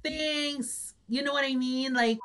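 A woman's voice: high-pitched, steady tone, takes it slowly, fairly bright tone. A woman co-hosting a casual chat, talking in a chatty way and slipping between English and Tagalog. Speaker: co-host. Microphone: video call.

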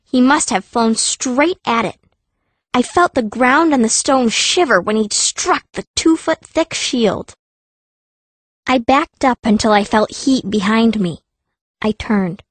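A woman reads a fantasy audiobook in a high voice. Her delivery is expressive and dramatic, telling the story in the first person. The sound is dry and close. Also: slow, no echo. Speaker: audiobook narrator, playing the young heroine.

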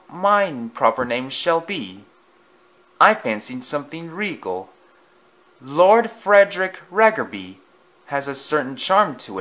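A man voicing a big, vain, boastful male engine with a grandiose, drawn-out, theatrical manner. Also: on the high side, pushed and bright, pitched above the performer's own voice, full character voice. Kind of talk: storybook voice acting.

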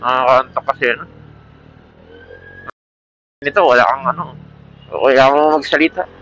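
A Filipino man talks off the cuff as a motovlogger, rambling casually to his viewers. His voice is bright and forceful at an average male pitch. It comes through a helmet intercom mic, so the sound is muffled and lo-fi. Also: steady tone, moderate pace, slight background noise. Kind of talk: casual rambling vlog talk with product pitch. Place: outdoors, riding a motorcycle at night. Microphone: Bluetooth helmet intercom mic.

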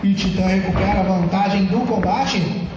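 A Brazilian man giving excited, emphatic play-by-play wrestling commentary, often breaking into shouts. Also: high voice, normal speed, noisy background. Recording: distant, very echoey, loud music and crowd.

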